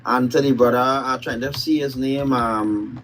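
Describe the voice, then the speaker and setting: A man's voice: medium pitch, steady tone, moderate pace, crowd noise, poor audio. A man from Trinidad and Tobago with a Trinidadian accent, giving outspoken, opinionated commentary on a live stream.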